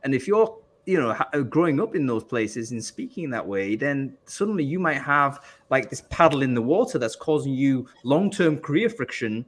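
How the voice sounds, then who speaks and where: Man from England, panel host, halting speech full of restarts, mid-pitched voice. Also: very animated. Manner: hesitant, many restarts and fillers. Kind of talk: panel discussion.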